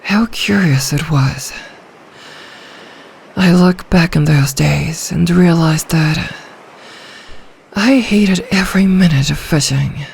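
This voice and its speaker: Woman doing an ancient lonely sea dragon lady, slow brooding asmr fantasy monologue, gravelly murmur, some noise behind it. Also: fairly low pitch, a little mellow. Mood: mournful, bitter. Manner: slow, storybook-formal, brooding, lapses into breathy whispers. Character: ancient, lonely, possessive female sea dragon in human form.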